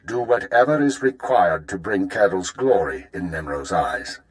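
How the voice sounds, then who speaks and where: A stern spy boss in a game, lowish voice, formal and measured, giving orders. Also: male voice, animated. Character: stern, formal imperial intelligence spymaster. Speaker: game voice actor. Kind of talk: video game mission briefing.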